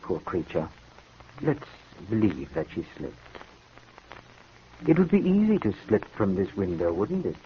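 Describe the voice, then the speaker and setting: An actor playing a brooding, soft-spoken brother with a secretly sinister streak, in theatrical, measured 1950s radio drama style. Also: male voice, deep voice, huge pitch swings, exaggerated voice. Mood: quietly insinuating. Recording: noisy, dull vintage radio recording.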